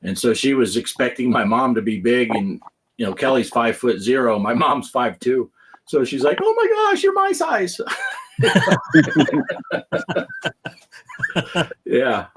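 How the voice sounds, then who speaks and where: A man's voice: mid-range voice, wildly swooping pitch, takes it slowly, pauses often, background noise. A man in his fifties hosting a casual gaming podcast, telling stories with lots of laughs and acting out quoted lines. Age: 50s. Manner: chatty storyteller who laughs often and acts out quoted lines. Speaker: podcast host. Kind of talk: casual chat.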